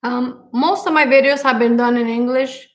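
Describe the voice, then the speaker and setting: A woman's voice: a little high, fairly even intonation. Puerto Rican-accented woman hosting a cooking stream. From Puerto Rico. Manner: warm-hearted, grateful, frequent fillers and pauses. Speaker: cooking channel host. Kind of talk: live stream chat with viewers.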